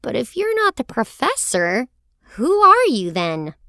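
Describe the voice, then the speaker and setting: A woman playing a bold, cheeky, excitable young girl in a children's audio drama. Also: on the high side, a little slow, very noisy, heavily acted. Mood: wary, exasperated. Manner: excitable, sassy.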